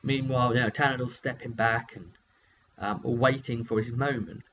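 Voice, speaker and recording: A man's voice: mid-range voice, steady tone, moderate pace, fairly bright tone. A man casually telling the story of a roleplaying game session in a rambling, wry way, acting out short quotes as he goes. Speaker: game master recapping a tabletop session. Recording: dull lo-fi mic with hum.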